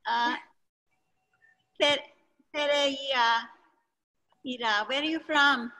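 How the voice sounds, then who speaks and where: A woman's voice: high voice, drawn-out words, pushed and bright, faint music behind, poor audio. A woman hosting an online class over a video call, calling on participants one by one in a friendly way. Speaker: session host.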